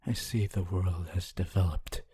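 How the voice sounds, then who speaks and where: A man voicing a lonely, honorable ghost of a teacher in an ASMR roleplay, speaking in a hushed, breathy, halting and wistful way. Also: low-pitched, fairly even intonation. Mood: somber, regretful. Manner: slow, halting, wistful, intimate. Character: honorable lonely male ghost, former teacher, tender and regretful.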